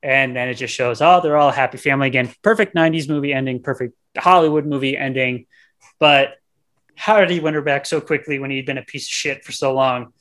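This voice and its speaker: A man's voice: medium pitch, a little fast, a bit bright. A man co-hosting a podcast, chatting casually about a film in a blunt, slangy way. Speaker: podcast co-host. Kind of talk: casual movie discussion.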